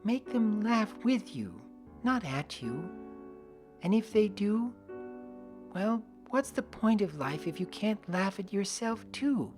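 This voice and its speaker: A woman's voice: medium pitch, very animated, takes it slowly, a little mellow, soft background music. A woman narrating in the first person as an earnest adult pony mare who works the weather, reading fan fiction expressively. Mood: subdued.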